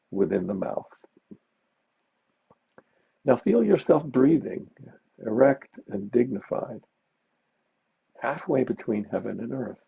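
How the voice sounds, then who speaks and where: A man teaching meditation, giving gentle, unhurried guided mindfulness instructions with pauses. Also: on the low side, creaky, husky. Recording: dull, muffled lo-fi recording.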